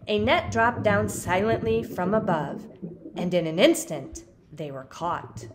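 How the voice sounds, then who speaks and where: A woman reads a children's picture book aloud at an unhurried pace. Her pitch swings widely, and she leans on key words with dramatic pauses for young listeners. The recording has clear noise behind her. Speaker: storybook reader.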